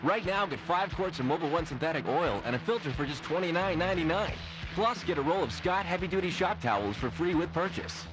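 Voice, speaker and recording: A man's voice: a little high, expressive, brisk, music in the background, low-quality recording. A man reading a scripted retail radio commercial as an announcer.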